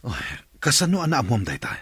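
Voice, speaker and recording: A man's voice: mid-range voice, wildly swooping pitch, fast talker, a bit bright, no echo, exaggerated voice. A middle-aged man with an Ilocano accent playing a worried village father who asks blunt questions about his missing son. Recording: clear background noise.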